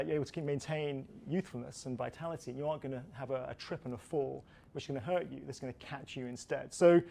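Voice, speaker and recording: A man in his fifties, a personal trainer explaining fitness in an energetic, motivational way. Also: medium pitch, gravelly. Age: 50s. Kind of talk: expert explaining.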